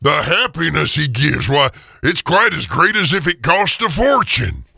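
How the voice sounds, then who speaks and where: A man playing an emotional, remorseful old miser with a gravelly, raspy voice in an audio drama. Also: on the high side, sing-song, measured pace, bright, forceful tone, dry recording, clean studio sound, voice pushed up, exaggerated voice. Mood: indignant. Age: older.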